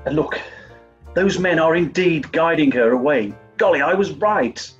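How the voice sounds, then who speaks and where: A man acts a well-meaning, dim toff in a 1940s radio comedy. The voice sits very high and is fussy and plummy. The sound is dull, with faint music behind. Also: animated, unhurried. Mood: eager. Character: dim-witted, earnest upper-class toff turned amateur sleuth.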